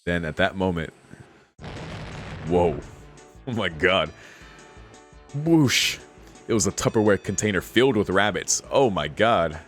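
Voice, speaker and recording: A man's voice: sits low, animated, unhurried, pauses often, a bit bright, soft background music. A man streaming a game, reading its text aloud and reacting casually with slangy exclamations and swearing. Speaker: gaming streamer reading a visual novel aloud.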